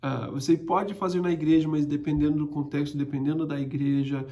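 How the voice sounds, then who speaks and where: A man's voice: fairly high pitch, steady tone, fairly quick, dark tone. A young man speaking Portuguese, teaching a casual Bible study with many hesitations and restarts. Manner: hesitant, frequent restarts and fillers.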